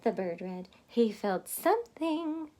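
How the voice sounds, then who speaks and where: A woman reading a picture book aloud to children, expressive and stopping to explain words. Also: fairly high pitch, takes it slowly. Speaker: storybook reader.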